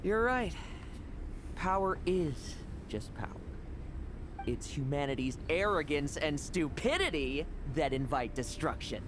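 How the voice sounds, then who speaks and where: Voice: medium pitch, very animated, unhurried, background noise. A performer voicing a cocky, battle-hungry young warrior in a video game, with a taunting, defiant manner. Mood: cold defiance. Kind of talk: dramatic video game voice acting. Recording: dramatic music behind.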